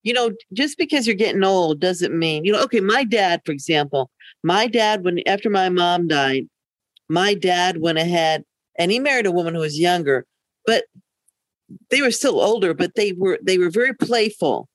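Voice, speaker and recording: A woman's voice: lowish voice, animated, normal speed, dry recording. An older woman co-hosting a podcast in casual conversation, candid and anecdotal with a dry joking streak. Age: older, over 50. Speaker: podcast co-host.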